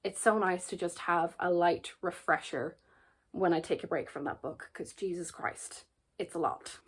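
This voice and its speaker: A woman's voice: fairly low pitch, normal speed, husky. A woman in her twenties with an Irish accent, chatting earnestly about books to camera, often repeating words for emphasis. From Ireland. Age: 20s. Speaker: book vlogger. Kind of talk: casual book chat to camera.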